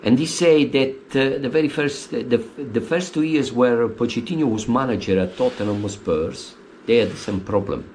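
A man's voice: moderate pace, slight background noise. A man in his forties with an Italian accent, giving opinionated football commentary from his living room with many hesitant fillers. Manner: hesitant, many uh fillers, animated pitch swings. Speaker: football fan vlogger. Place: living room at home, indoors.

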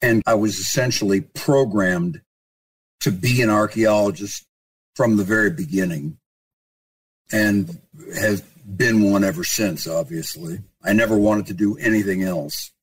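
An older man answers interview questions in a husky, low voice and tells stories about his early life with dry, wry humor. He sits some way back from the microphone. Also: a little slow, far from the mic, poor audio. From Ohio, United States. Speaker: archaeologist guest.

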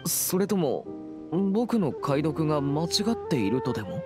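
A man's voice: a little high, big pitch swings, unhurried. A young man voicing a serious, earnest teenage boy exorcist in a Japanese anime game, with a formal, reserved and polite manner. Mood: puzzled. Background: background music.

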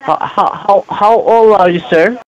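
A man's voice: sits high, measured pace, dry recording. Probing man on a phone line. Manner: repetitive, probing questions. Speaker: call center agent. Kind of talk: phone call.

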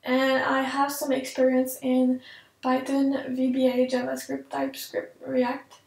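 A woman's voice: sits high, monotone, a little slow, creaky, a little mellow, far from the mic. A young woman in her twenties from Quebec with a French-Canadian accent, speaking English as a second language in an earnest, hesitant casual vlog from her home office, with frequent ums as she searches for words. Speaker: tech vlogger. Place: home office with desk and computers, indoors. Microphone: camera mic at desk distance. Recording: echoey room, mic set back.

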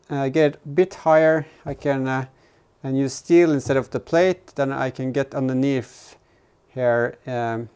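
Clear-voiced man thinking aloud. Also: mid-range voice, a little mellow. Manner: halting, thinking aloud while working. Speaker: host of a DIY vehicle build vlog. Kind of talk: casual hands-on workshop narration. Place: indoor garage workshop, in and around an open car.

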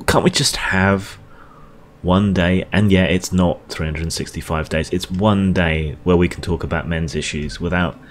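A man talking alone in a weary, halting monologue with frequent ums and restarts, reacting to a debate for his video channel. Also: deep voice, fairly even intonation, slight background noise. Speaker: video blogger.

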